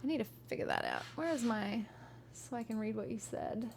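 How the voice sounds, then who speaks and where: A woman reads a fantasy novel aloud on a live stream, then chats loosely with her viewers. Her voice sits fairly high, and her talk is friendly and rambling, full of ums and restarts. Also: fairly quick, creaky, darkish tone, slight background noise. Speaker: streamer reading a book aloud. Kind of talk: live-streamed audiobook reading and chat.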